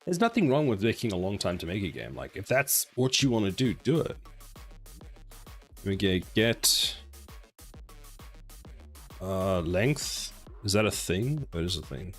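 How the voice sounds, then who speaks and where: A man's voice: low-pitched, sing-song, a little slow, pauses often, faint music behind. A man in his forties from South Africa, with a South African accent, chatting casually with viewers while working on a game during a live stream. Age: 40s. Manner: reads chat aloud and answers, rambling and self-mocking.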